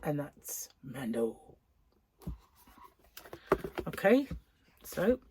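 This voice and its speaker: A woman's voice: low-pitched, breathy edge. A woman hosting a podcast, chatting casually and rambling as she thinks aloud. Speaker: podcast host. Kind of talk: casual chat.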